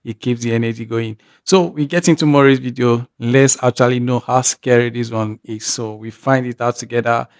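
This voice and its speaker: A man's voice: medium pitch, steady tone, moderate pace, few pauses, smooth, no room sound, clean studio sound. Rambling Nigerian man hosting a reaction channel. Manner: rambling, restarts sentences, thinks aloud. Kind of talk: casual reaction commentary.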